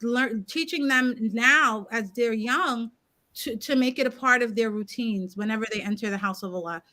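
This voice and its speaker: Chatty mom on a livestream, kinda high bright voice, laughs a lot. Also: female voice, moderate pace. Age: adult parent of a seven-year-old. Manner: chatty, laughs easily, self-correcting. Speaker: co-host. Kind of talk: casual livestream chat.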